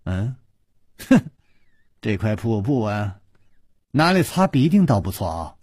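A man performs a brash storybook rascal who likes to drink and tease. He has a rough voice that swings widely, full of mutters, stammers and drawn-out interjections. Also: lowish voice, big pitch swings, darkish tone, no room sound, studio quality, heavily acted. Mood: grumbling. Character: brash, teasing male drinker, a blustering rascal.

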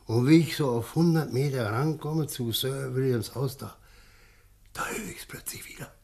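An actor in a German radio play, playing a shaken, tipsy village man who tells of an uncanny sighting in a halting, insistent way. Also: medium pitch, gravelly, a little mellow, lightly acted. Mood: uneasy, insistent. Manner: halting, stammering, insistent eyewitness telling.

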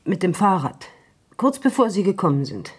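An actress playing a shaken, plain-spoken country woman in a German radio play, speaking in a halting, subdued way with a husky voice. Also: lowish voice, big pitch swings. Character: shaken, subdued country woman reporting her sister's death.

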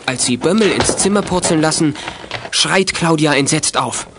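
A man's voice: fairly high pitch, expressive, normal speed, a bit bright, slight background noise. A man narrating a youth radio play in scripted, dramatic storytelling style.

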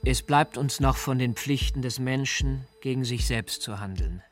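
A man playing a provocative libertine philosopher in a deliberate, declamatory radio reading. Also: medium pitch, lightly acted. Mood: polemical, emphatic. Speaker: reader of a philosophical pamphlet. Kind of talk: dramatic radio reading of a polemical text. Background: music.

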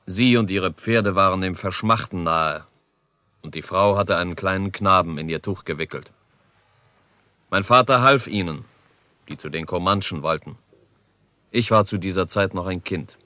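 A man's voice: deep voice, moderate pace, pauses often, pushed and bright, no room sound. A male actor playing a proud, dignified chief in a German radio drama, with a grave and formal way of speaking.

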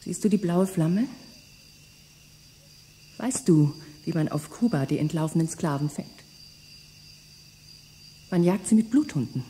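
A woman's voice: fairly low pitch, expressive, a little slow, pauses often, dark tone, slight background noise. An actress voicing a bitter, seductive woman who wants her lost lover back, speaking in intimate whispers.

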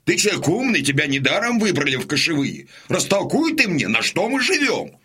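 An actor playing a hot-headed, stubborn old Cossack warrior in an audio drama, gruff-voiced, blunt and insistent. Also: male voice, fairly high pitch, huge pitch swings, fairly quick, sharp tone, full character voice. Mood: indignant.

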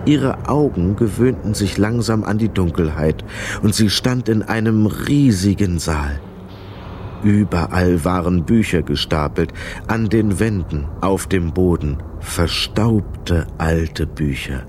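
A man's voice: low voice, slow, a little mellow, slight background noise. A man narrating a children's radio play as a scripted storyteller.

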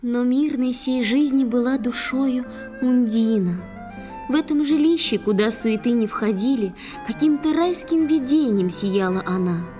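A woman's voice: a little high, unhurried, mellow. A woman narrating a poetic tale for an audio play in a lyrical storytelling manner. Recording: music under the voice. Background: instrumental music.

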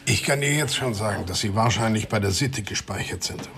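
An actor playing a matter-of-fact police investigator in a radio drama. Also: male voice, fairly low pitch, a little fast, crowd noise, natural voice.

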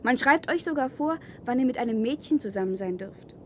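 A woman's voice: on the high side, lively intonation, a little fast, fairly bright tone, slight background noise. An actress playing a defiant woman settler in a German science-fiction radio play, speaking in a pointed, challenging way. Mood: defiant, explaining. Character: defiant woman settler of an outlaw wilderness colony.

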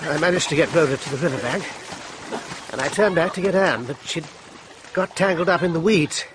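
An actor playing a gallant, direct British army major recounting events in a radio drama. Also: male voice, a little high, fairly quick, natural voice. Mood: sombre. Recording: noisy, with clicks.

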